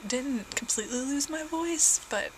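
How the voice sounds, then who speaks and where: A woman's voice: high voice, unhurried, background noise. A young woman from southern Indiana with a southern Indiana accent, slightly congested, chatting casually and rambling as a vlogger at home. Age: young adult. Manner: rambling, many fillers and self-corrections. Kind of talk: casual chat.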